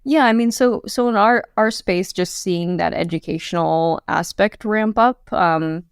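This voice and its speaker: Gravelly-voiced woman, candid chat. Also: fairly low pitch, a little slow, no echo, clean studio sound. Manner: candid, chatty, many fillers and laughs. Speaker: podcast guest, quantum computing founder. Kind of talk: casual interview chat.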